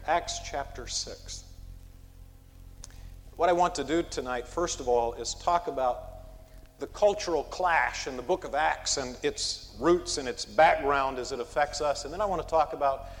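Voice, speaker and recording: A man's voice: a little high, normal speed. Male preacher in reverberant sanctuary. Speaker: pastor. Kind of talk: expository sermon. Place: church sanctuary.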